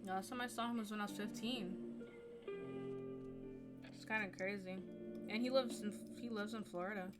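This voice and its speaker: A woman's voice: mid-range voice, steady tone, moderate pace, pauses often, loud music behind the voice. A young woman from Jamaica hosting a podcast in casual chat, talking openly and reflectively and leaning on a lot of likes and you knows. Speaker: podcast host.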